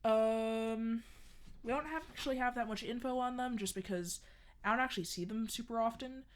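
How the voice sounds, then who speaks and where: A woman's voice: on the high side, normal speed, slight background noise. Rambling woman with many fillers. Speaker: podcast host playing a restaurant worker. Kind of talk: scripted horror comedy monologue.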